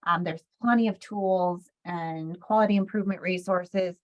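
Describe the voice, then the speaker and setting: Dull-sounding recording of a lowish-voiced woman presenting. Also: fairly even intonation, moderate pace, a little mellow. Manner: helpful, conversational, hesitant phrasing with frequent self-corrections. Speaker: webinar presenter for a health care quality improvement organization. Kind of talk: informal slide presentation. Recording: dull, lo-fi webinar audio.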